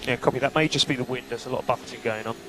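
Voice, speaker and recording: A man's voice: medium pitch, steady tone, racing, creaky. A man working as a race engineer, giving clipped, procedural updates over team radio. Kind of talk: terse race radio updates, calm reporting. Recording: noisy team radio, humming engine noise. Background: loud hum and vehicle noise.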